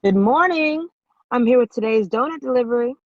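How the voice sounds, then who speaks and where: A woman's voice: a little high, animated, a little fast, no room sound. An actress playing a breezy, chatty donut delivery woman in a comedy table read, on dull lo-fi audio. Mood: cheerful.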